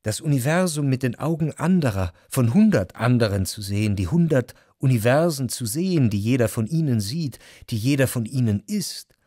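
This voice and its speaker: An actor playing a reflective, refined writer narrating his memories in a measured, literary radio drama manner. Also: mid-range voice, lively intonation, warm tone, dry recording, studio quality, natural voice. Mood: contemplative. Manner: measured, contemplative. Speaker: first-person narrator. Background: music behind the voice.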